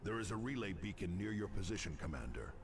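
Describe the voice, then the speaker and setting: An actor playing a stern space marine commander, authoritative and formal, in a sci-fi military game briefing. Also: male voice, low voice, very animated, quick pace, recorded from a distance, background noise. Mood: grave, commanding.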